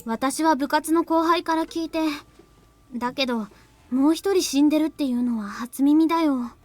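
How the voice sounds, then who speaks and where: A woman's voice: high voice, fast, dry recording, natural voice. A woman voicing a chatty teenage schoolgirl trading rumors in an anime-style game. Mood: gossipy. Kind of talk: anime-style visual novel acting.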